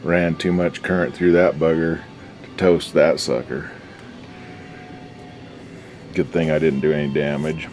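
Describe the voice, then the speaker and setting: A man's voice: extremely low pitch, little pitch movement, a little slow, long pauses, a little mellow, soft background music. A man giving casual, unscripted commentary as a hobbyist repairer, talking in a folksy, slangy mutter while he works. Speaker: hobbyist radio restorer and video host.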